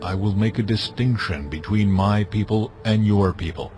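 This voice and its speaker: An actor playing a commanding, all-powerful God in a dramatized audio Bible, speaking in slow, solemn, forceful pronouncements. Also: male voice, fairly low pitch, over music, natural voice.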